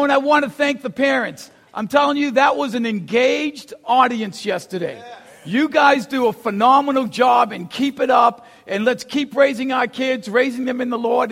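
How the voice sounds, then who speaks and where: A man with a Boston accent preaching a sermon, telling stories with gasps and acted-out lines and shouting for emphasis. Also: very high-pitched, vocal fry. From Boston, USA. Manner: animated storyteller, acts out gasps and quotes, shouts for emphasis. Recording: long hall reverb.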